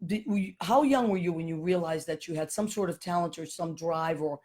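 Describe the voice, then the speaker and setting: A woman's voice: on the low side, fairly quick, mellow. A woman hosting a live online talk show, chatting with guests and greeting viewers as they join. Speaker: host. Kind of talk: casual live interview chat.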